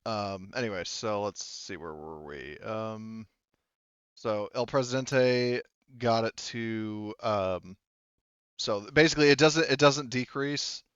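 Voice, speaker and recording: A man running an online tabletop roleplaying game as game master, talking casually and haltingly as he thinks aloud and corrects himself. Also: lowish voice, a bit bright, smooth, no room sound, muffled recording.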